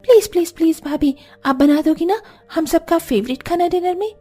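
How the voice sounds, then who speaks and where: A woman's voice: very high-pitched, moderate pace, mellow. A woman playing a girlish, carefree young sister-in-law in a cartoon, coaxing and demanding with exclamations. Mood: wheedling. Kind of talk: Hindi cartoon story dialogue.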